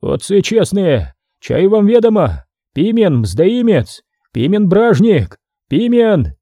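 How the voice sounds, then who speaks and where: A man voicing a hot-tempered male archimandrite who shouts accusations, in a husky, dry recording. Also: high-pitched, sing-song, slow pace, no echo, pitched above the performer's own voice, heavily acted. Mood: indignant. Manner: shouting, denouncing.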